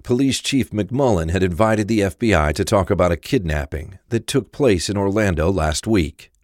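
A man's voice: low voice, expressive, normal speed, no echo, studio quality. A man narrating a crime thriller audio drama from a script.

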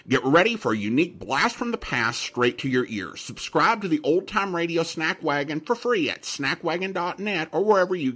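A man's voice: on the high side, a bit bright. A man reading an upbeat, scripted podcast promo as an ad announcer. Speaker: advertisement announcer.

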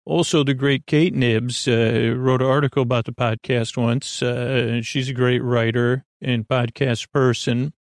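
A man with a creaky voice hosting a sleep podcast, talking in a meandering, hesitant monologue that trails off into tangents. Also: medium pitch, fairly even intonation, measured pace, few pauses, no room sound, studio quality. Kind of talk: rambling monologue to help listeners sleep.

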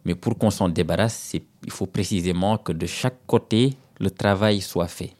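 A man in his thirties from Senegal, whose first language is Serer, answering interview questions in a measured, hesitant way with frequent fillers and restarts. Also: low-pitched, darkish tone. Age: 30s. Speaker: guest author.

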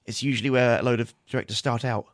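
A man's voice: mid-range voice, steady tone, quick pace, no room sound. A man co-hosting a podcast, bantering with dry jokes in casual chat. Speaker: podcast co-host.

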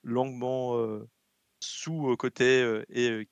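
A young man from French Guiana, a guest in an interview, speaking in a relaxed way with constant hesitations. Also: mid-range voice, slow, clean tone, no room sound, muffled recording. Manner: relaxed, very hesitant with constant fillers, laughs often.

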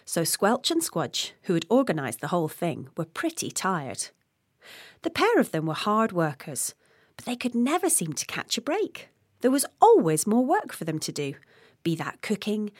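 A woman's voice: on the low side, no echo, studio quality. A woman narrating a scripted fairy tale for children as a storyteller. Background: music.

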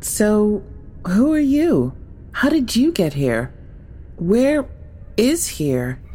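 A woman's voice: mid-range voice, big pitch swings, extremely slow, mellow, slightly rough, exaggerated voice. A woman playing a bewildered, skeptical newcomer in an audio drama, questioning warily. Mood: bewildered, wary. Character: bewildered, skeptical woman newly stranded in strange place.

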